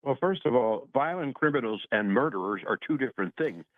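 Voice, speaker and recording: A man calling in to a political show, arguing defensively and haltingly over the phone. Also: mid-range voice, expressive, no echo. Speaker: caller. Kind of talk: political argument. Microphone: phone call. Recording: thin, narrow phone-line sound.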